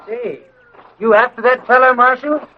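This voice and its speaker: A man's voice: extremely high pitch, steady tone, moderate pace. An actor playing a folksy, chatty American small-town livery man with a rustic, casual manner in a radio western. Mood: chatty, curious. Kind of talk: 1950s radio western acting. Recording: dull vintage radio recording.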